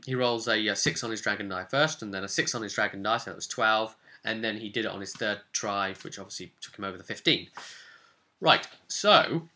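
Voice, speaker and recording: A man speaking casually as a game master, recounting a tabletop campaign in an animated, explanatory way straight to the listener. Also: lowish voice. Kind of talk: casual storytelling to camera.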